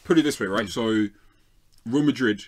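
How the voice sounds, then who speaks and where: A man's voice: medium pitch, moderate pace. A man with a London accent arguing his points emphatically as a panelist on a football debate show. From South London, England. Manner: emphatic, argues with rhetorical tags like "right?". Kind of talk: opinionated sports debate.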